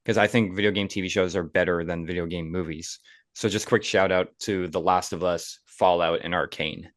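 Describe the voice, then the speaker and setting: A man with a very low voice hosts a casual film podcast. He is conversational and opinionated, and the recording is dry and close, with little room sound. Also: steady tone, normal speed, dry recording. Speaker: podcast host.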